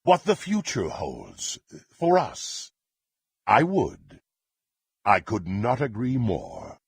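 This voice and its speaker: An actor playing a power-hungry, contemptuous dark warrior in a fantasy video game, with a gravelly, husky voice and haughty, theatrical, archaic phrasing. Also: male voice, lowish voice, wildly swooping pitch, slow pace, no echo, clean studio sound, full character voice. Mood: smug, haughty.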